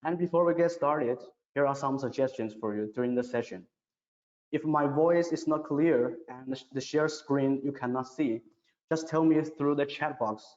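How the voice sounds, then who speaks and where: A man presenting a scripted product webinar in a careful, halting way, now and then repeating words. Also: mid-range voice, darkish tone. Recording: dull, echoey, reverberant online webinar audio.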